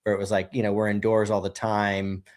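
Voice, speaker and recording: A man in his thirties speaks with a fairly low voice in an easygoing, meandering way. He keeps leaning on "you know" and "right?" as he tells his story to a podcast host. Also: little pitch movement, brisk, darkish tone. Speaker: guest, brewery co-founder. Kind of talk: casual conversational storytelling.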